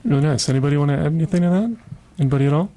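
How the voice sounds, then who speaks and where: A man's voice: fairly high pitch, lively intonation, rapid-fire, dark tone. A man hosting a conversational radio interview, putting questions to his guests.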